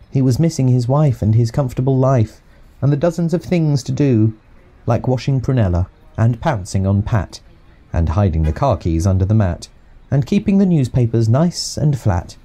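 A man reads a rhyming picture book for young children. His voice is mellow and medium in pitch, with very lively intonation. He keeps a clear, expressive rhythm through the verse. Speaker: storyteller reading to young children. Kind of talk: scripted children's audiobook narration.

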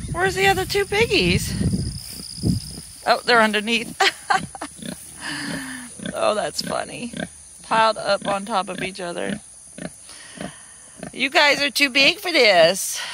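A woman's voice: fairly high pitch, expressive, slow pace, long pauses, noisy background. A woman on a homestead chatting casually as she films her animals outdoors, laughing often and talking sweetly to them. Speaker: homestead vlogger. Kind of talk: casual narration while doing farm chores. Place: outdoor farm pens and pasture.

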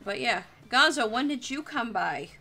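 A woman's voice: on the high side, expressive, moderate pace, bright, forceful tone, soft background music. A young woman chatting casually as the host of a drawing livestream.

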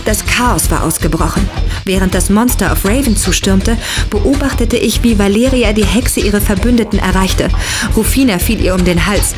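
A woman's voice: mid-range voice, close to an everyday voice. A woman playing a young heroine who narrates dramatically in a scripted horror audio drama. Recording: music under the voice.

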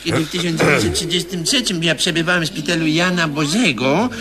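A man's voice: fairly high pitch, brisk, close to an everyday voice. An actor playing a rambling, playful old grandfather in a satirical radio comedy, chatting on in a repetitive, self-satisfied way.

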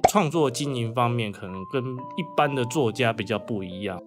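A man's voice: medium pitch, normal speed, music in the background. A man with a Taiwanese Mandarin accent, a guest writer recounting things casually in an interview. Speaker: guest novelist.

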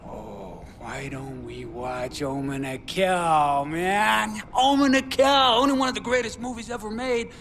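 Slow, high-voiced, jittery lonely veteran. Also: male voice, fairly bright tone. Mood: excited. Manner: halting, rambling, eager, pleading. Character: lonely, jittery male war veteran obsessed with movies. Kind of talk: naturalistic video game acting.

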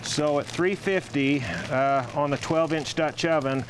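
A middle-aged man giving a casual outdoor cooking demo, relaxed and plain-spoken, with halting phrasing. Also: fairly high pitch. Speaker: guest outdoor cooking chef. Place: outdoor rooftop deck. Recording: faint outdoor traffic noise.

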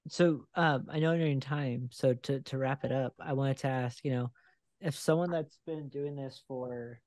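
A man in his thirties hosting a podcast interview, talking in a relaxed, candid way into a close desk microphone. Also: medium pitch, moderate pace, no echo. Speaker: podcast host, photographer. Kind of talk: casual interview. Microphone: headphones and a desk microphone close up.

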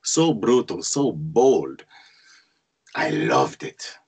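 Man as exasperated movie-loving son, husky voice, animated pitch, theatrical monologue, table read. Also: very slow, full character voice. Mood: wistful, theatrical. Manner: expressive, theatrical storytelling. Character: adult Constantine, exasperated son reminiscing about his movie-loving boyhood. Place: indoors on a group video call.

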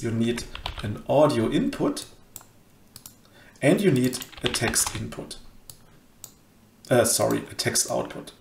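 Guy doing a screencast tutorial, bit of gravel in the voice, medium pitch, echoey room, kinda halting. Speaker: host of a cryptography tutorial channel. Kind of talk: casual software screencast tutorial. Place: small room indoors at a computer. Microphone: headset. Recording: roomy home recording.

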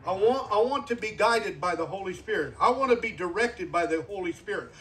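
A man's voice: high voice, animated, a little fast, a bit bright, recorded from a distance, slight background noise, poor audio. An older man, a guest preacher in his sixties, delivering an earnest sermon and repeating phrases for emphasis. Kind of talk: sermon with altar call.

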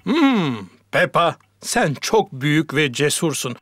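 Kindly bear doctor in a kids cartoon, slow and singsong, reassuring. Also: male voice, a little high, sing-song, fairly bright tone, a little hiss or hum, heavily acted. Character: kindly, calm grown-up male bear doctor. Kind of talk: children's cartoon dub.

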